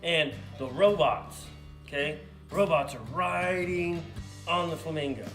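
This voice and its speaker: A man speaking casually and a little haltingly as a sidekick on a children's puppet show, making up stories. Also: a little high, fairly bright tone. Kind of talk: casual improvised storytelling for kids. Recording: roomy echo, faint music behind.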